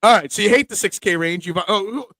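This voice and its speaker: A man's voice: sits high, fast talker, sharp tone, no room sound. A man hosting a casual sports betting preview show, chatting with his co-hosts.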